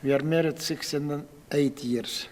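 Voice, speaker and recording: A man's voice: on the high side, fairly even intonation, takes it slowly, a little mellow, big-room sound, slight background noise. A ninety-year-old man from Moldova, speaking broken English with good humour. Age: 90s. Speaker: interviewee. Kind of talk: personal story told in an interview.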